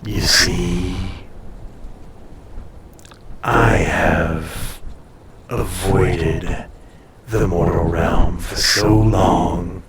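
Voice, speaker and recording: A man voicing an ancient, haughty, possessive dragon in an intimate audio roleplay, speaking formally and deliberately with a breathy, softly menacing, seductive delivery. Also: deep voice, steady tone, roomy sound, far from the mic, over a noisy crowd. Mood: wistful, musing.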